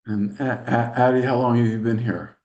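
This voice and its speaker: A man's voice: mid-range voice, fairly even intonation, moderate pace, a little mellow, lo-fi sound. A man hosting a video meeting, calling on people in turn and stammering with repeated words and restarts. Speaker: meeting host. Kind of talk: hosting round of introductions.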